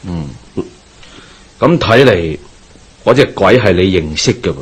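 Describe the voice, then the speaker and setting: A man with a Hong Kong Cantonese accent playing a calm, authoritative Taoist exorcist master in a radio drama, speaking in a measured, knowing, formal way. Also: low voice, slightly rough, heavily acted.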